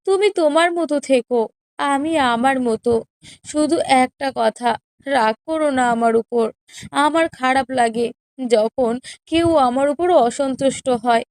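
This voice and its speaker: A woman plays a sorrowful, meek elder wife in a cartoon tale. Her voice is high and smooth, recorded dry. She speaks gently and submissively, as if always pleading to be accepted. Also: unhurried, no echo. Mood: sad, pleading. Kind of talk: children's cartoon story dialogue.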